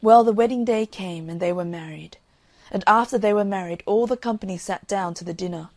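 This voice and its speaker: A woman reading a fairy tale in an expressive, old-fashioned storybook narration. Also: medium pitch, a little fast, dry recording.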